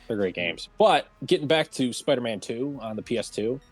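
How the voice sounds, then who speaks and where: A man chatting casually as a panelist in a group discussion, often pausing as he searches for what to say. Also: medium pitch, animated. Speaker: round table panelist. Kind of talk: casual group discussion about games and films. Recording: roomy echo, music underneath.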